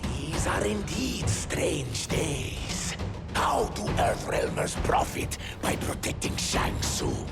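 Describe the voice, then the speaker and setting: A man voicing a menacing, greedy monstrous collector in a video game, with a gravelly voice and a slow, taunting, theatrical manner. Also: on the high side, sing-song, full character voice. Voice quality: gravelly, creaky. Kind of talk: video game villain acting. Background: loud dramatic music.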